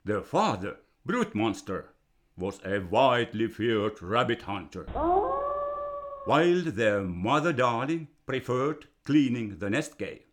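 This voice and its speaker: An older man from Finland with a Finnish accent, slowly reading a fairy tale he wrote for his grandchildren. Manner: slow and deliberate, with a lively, storybook pitch. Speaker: storyteller reading his own children's book.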